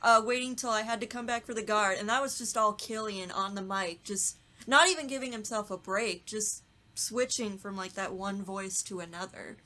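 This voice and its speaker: A woman's voice: medium pitch, normal speed, pushed and bright. A woman who works as a voice actor, chatting casually on a podcast panel. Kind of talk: casual chat.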